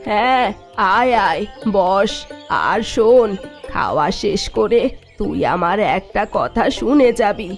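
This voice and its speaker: A woman voicing a worried village mother in a cartoon, talking in a soft, pleading way. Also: medium pitch, lively intonation, slow pace. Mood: gentle. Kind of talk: Bengali cartoon voice acting. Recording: music under the voice.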